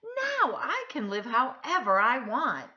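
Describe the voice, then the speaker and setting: A woman's voice: high voice, huge pitch swings, slow pace, sharp tone, dull audio, voice pushed up, full character voice. A woman reading a storybook, voicing a carefree, messy young schoolboy with emphatic expression. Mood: delighted.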